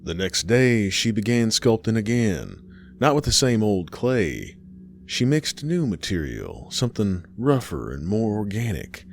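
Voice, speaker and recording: A man reading a scripted horror story in a dramatic, measured way, pausing between phrases. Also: fairly low pitch, sing-song, creaky, slight background noise.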